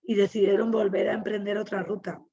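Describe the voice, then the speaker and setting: Woman moderator with a Spanish accent from Spain, reflective, halting delivery, dull lo-fi call audio. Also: mid-range voice, level tone, mellow. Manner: reflective, searching for words. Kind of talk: webinar panel talk.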